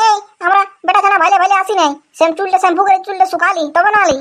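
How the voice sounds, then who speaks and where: A brash little village troublemaker from a comic cartoon, acted by a woman, chattering very fast in an extremely high voice. The accent is Purulia Bengali and the talk is slangy and boastful, over faint noise. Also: fairly even intonation, a bit bright. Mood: defiant. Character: cocky, mischievous village schoolboy, brash and carefree.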